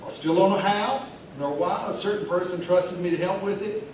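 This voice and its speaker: A folksy, jovial small-town pet store manager, played by a man reading a story aloud, boasts and confides like a chatty regular. The voice is on the high side, heard from a distance in a very echoey, noisy room. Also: steady tone, normal speed, close to an everyday voice. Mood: confiding. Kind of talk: dramatic reading of short story monologue. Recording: very echoey room from afar, clear noise.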